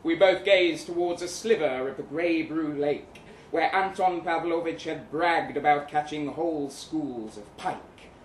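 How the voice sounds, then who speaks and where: A man giving an expressive, arch, theatrical reading of a first-person short story at a live event. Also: fairly high pitch, measured pace, hardly any pauses. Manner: expressive, wry, arch delivery. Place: indoor live reading event before an audience. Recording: echoey live room, audible noise.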